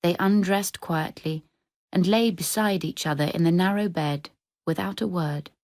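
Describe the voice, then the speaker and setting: Low-pitched woman reading a story. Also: darkish tone, no room sound. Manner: measured, descriptive storytelling. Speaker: short story reader. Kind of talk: scripted literary narration for radio drama.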